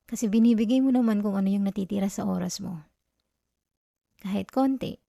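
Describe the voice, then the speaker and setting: Mellow, close-up affectionate girlfriend, acted by a woman. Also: medium pitch, creaky, dry recording, close to an everyday voice. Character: affectionate, teasing young live-in girlfriend comforting tired partner. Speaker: ASMR roleplay performer. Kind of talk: ASMR girlfriend roleplay, intimate and conversational.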